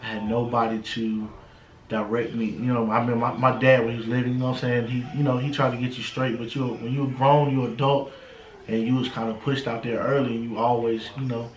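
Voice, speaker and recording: A man's voice: medium pitch, flat delivery, fairly quick. American man in his thirties, echoey room. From United States. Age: 30s. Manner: street slang, frequent "you know what I'm saying". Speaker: interview guest. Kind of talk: casual motivational talk. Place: indoors in a house. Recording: roomy, echoing home interview.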